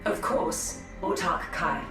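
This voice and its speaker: An actress playing a dutiful, uneasy alien seer in a video game, speaking in a formal, measured way. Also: female voice, on the low side, recorded from a distance. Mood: deferential, frustrated. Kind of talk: scripted video game voice acting. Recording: echoey, set back from mic, music behind.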